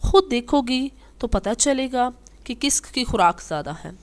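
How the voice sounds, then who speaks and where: A woman's voice: a little high, lively intonation, measured pace. A woman voicing a kindly, wise old female ant in a children's storybook, patient and instructive. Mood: patient, encouraging.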